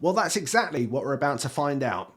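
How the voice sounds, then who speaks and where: A British man with a fairly high voice, a little croaky from a summer cold. He talks straight to the viewer in a relaxed, chatty review style, like a video host sharing his opinions. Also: brisk, a little hiss or hum. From Britain. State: slightly hoarse from a cold. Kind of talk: casual spoken review.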